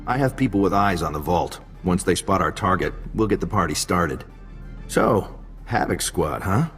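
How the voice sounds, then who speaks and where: A wry intelligence agent in a video game. His voice is deep and his manner casual and unhurried. It carries long reverb, with music behind it. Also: animated, a bit bright. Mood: wry, relaxed. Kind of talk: video game cutscene dialogue.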